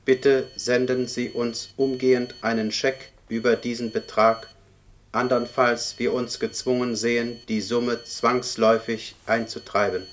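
Man doing a flat, monotone officious debt collector. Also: mid-range voice, unhurried, a little hiss or hum, close to an everyday voice. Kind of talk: German radio play acting, formal letter reading.

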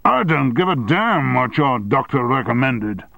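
A man's voice: on the low side, very animated, moderate pace, husky, no echo, heavily acted. A man voicing a blustering, domineering male media tycoon who bellows his orders. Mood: bullying. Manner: bellowing, brusque.

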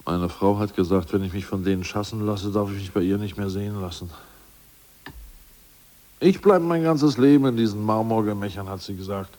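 An actor playing an anxious, complaining ousted party official in a radio drama. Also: male voice, lowish voice, moderate pace, darkish tone, lightly acted. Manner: fretful, plaintive, complaining. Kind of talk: 1980s radio drama acting.

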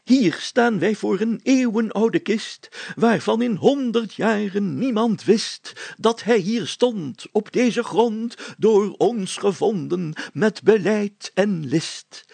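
Man playing a pompous, high-pitched village mayor. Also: lively intonation, slow, gravelly, darkish tone, slightly rough, dry recording, heavily acted. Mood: reverent, declamatory. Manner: pompous, declamatory, formal wording. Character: pompous, solemn, easily irritated male village mayor. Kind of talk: children's story character acting.

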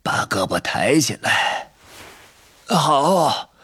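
Eccentric old storybook wandmaker: husky and breathy, highish swooping pitch. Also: male voice, big pitch swings, fairly bright tone, full character voice. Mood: eager, explaining. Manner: slow, drawn-out, swooping delivery with muttered asides. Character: eccentric old male wandmaker, keen and theatrical.

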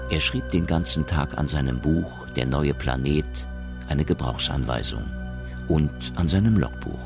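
A man acting as the solemn, measured narrator of a science fiction radio drama. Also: exaggerated voice. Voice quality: very deep, gravelly. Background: music.

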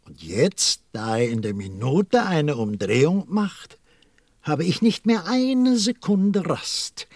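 A weary, dutiful lamplighter in a radio play. He grumbles in a resigned, literal-minded way. The delivery is unhurried but very animated in pitch, recorded dry. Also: dry recording, heavily acted. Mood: weary complaint. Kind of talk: German audio drama acting.

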